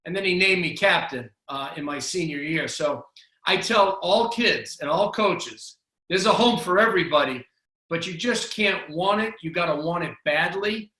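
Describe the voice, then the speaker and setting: A man's voice: a little high, sharp tone, roomy sound, poor audio. A middle-aged man with a Boston accent, a basketball coach telling his career story in a casual interview, with frequent restarts. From Boston area, USA.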